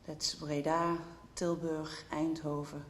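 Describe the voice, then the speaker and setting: A woman's voice: sits low, little pitch movement, takes it slowly, a little hiss or hum. A woman introducing herself at a round-table meeting, listing her responsibilities in a businesslike way. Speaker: regional external affairs officer. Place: large council hall. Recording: echoey hall with faint ambience.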